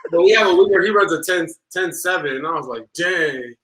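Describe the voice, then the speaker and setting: A man's voice: on the high side, lively intonation, brisk, heavy background noise. Laid-back young man. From United States. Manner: laid-back, short answers. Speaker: rugby player guest. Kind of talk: casual interview chat.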